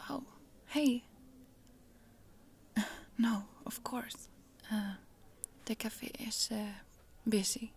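A woman voicing a friendly, chatty young Swedish traveler with a Swedish accent, in a soft, giggly whisper for an ASMR roleplay. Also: a little high, extremely slow, creaky, long pauses, exaggerated voice.

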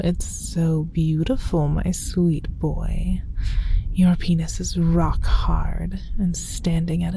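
A woman voicing a doting, possessive motherly female dragon in an intimate audio roleplay, speaking in a soft breathy whisper. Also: fairly low pitch, a little hiss or hum.

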